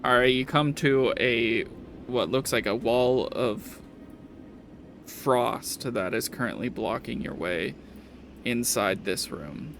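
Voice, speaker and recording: A man narrates a tabletop game as game master in a slow, casual way. His phrasing is halting and his voice has a creaky, gravelly edge. There is faint noise behind him. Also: mid-range voice.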